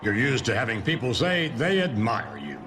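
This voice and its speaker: Wildly swooping, pompous boss. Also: male voice, medium pitch, huge pitch swings, moderate pace, a bit bright, a little hiss or hum. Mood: smug self-praise. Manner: pompous, theatrical. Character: pompous, self-admiring wrestling company boss in a video game. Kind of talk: video game cutscene speech.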